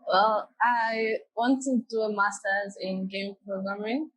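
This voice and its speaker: A woman's voice: medium pitch, fairly even intonation, a little slow, a bit bright, low-quality recording. A young woman, her Yoruba, chatting easily as an interview guest. She talks in loose, friendly runs, often leaning on "like" as she goes. Her voice sits at an ordinary middle height. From Nigeria. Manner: chatty, many "like" fillers. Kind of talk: casual interview talk.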